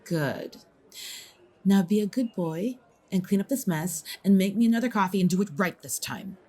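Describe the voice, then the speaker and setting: A woman's voice: medium pitch, animated, normal speed, warm tone, close to an everyday voice. A woman playing a haughty, bullying young leading actress in an audio drama, with an imperious, sneering delivery. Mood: contemptuous.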